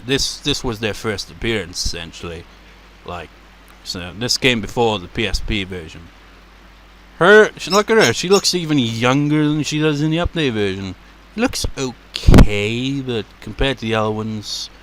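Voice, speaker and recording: A man with a deep voice giving casual, rambling commentary as a let's play host, laughing and swearing as he goes. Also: lively intonation, a little slow, fairly bright tone, a little hiss or hum. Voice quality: naturally deep voice.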